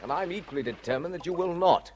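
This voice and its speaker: A man's voice: fairly high pitch, expressive, quick pace, darkish tone, slight background noise, lightly acted. An actor playing an earnest, principled man in a radio drama, speaking in a direct, plain way. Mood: challenging.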